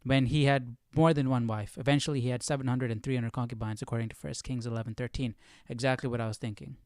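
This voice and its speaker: A man's voice: mid-range voice, fairly even intonation, fast talker, darkish tone, no room sound, clean studio sound. A man hosting a Bible study stream, reading aloud and musing in an informal, questioning way. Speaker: host of Bible study livestream.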